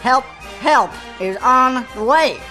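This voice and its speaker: A woman playing a bumbling, excitable male president in a satirical comedy, stammering in a folksy Southern drawl. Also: high voice, slow, faint music behind, full character voice. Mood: flustered, pleading. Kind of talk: satirical cartoon-style comedy sketch acting.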